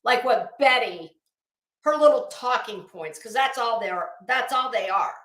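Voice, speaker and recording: A woman's voice: fairly high pitch, lively intonation, unhurried, fairly bright tone. A woman livestream host on a casual rant to her chat, blunt and coarse, with an emphatic, sarcastic, challenging delivery. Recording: echoey room.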